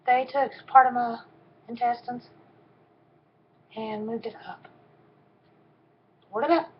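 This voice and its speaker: Soft-voiced woman, halting and plain. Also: a little high, fairly even intonation, a little hiss or hum. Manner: halting, with frequent pauses and ums. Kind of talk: personal testimony. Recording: dull, lo-fi recording.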